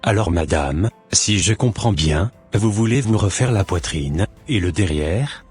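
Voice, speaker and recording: Man doing a cartoon doctor, middle-aged, preachy, deep, husky, soft background music. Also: a little slow, full character voice. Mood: lecturing. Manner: calm, lecturing and preachy. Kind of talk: amateur cartoon voice acting.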